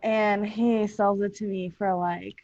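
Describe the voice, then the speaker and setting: Woman just chatting, blunt n slangy, normal pitch, no room echo, bit lo-fi. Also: steady tone, slow pace, darkish tone. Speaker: podcast cast member. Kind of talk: casual chat. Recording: slightly muffled, lo-fi audio.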